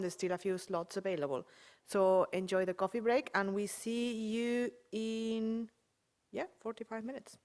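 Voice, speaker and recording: A woman making friendly, brisk announcements as a conference organizer. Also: medium pitch. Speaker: event organizer.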